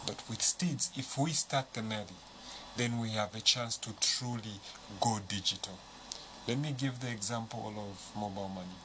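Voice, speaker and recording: Low-voiced Zambian man explaining. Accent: Zambian English. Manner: measured, explanatory, gives examples. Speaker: panel guest. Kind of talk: studio panel discussion. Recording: noisy studio recording.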